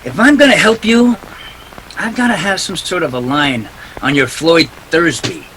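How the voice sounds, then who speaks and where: An actor playing a hard-boiled, wry private detective in a 1940s-style radio play, with clipped, slangy, sardonic delivery. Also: male voice, on the high side, expressive, moderate pace, fairly bright tone, a little hiss or hum, poor audio. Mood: sardonic, probing. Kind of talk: 1940s radio noir acting.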